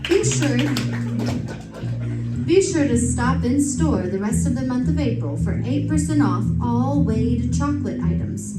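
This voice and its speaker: Loud music, echoey stage, woman ad announcer, high lively voice, scripted old-time radio style. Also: far from the mic. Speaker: radio announcer reading a sponsor ad.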